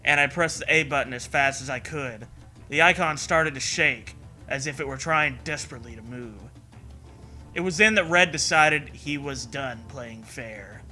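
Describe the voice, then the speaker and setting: A man's voice: mid-range voice, normal speed, sharp tone, faint music behind. A man co-hosting a comedic read-along, sarcastic and foul-mouthed, switching between dramatic reading and mocking commentary.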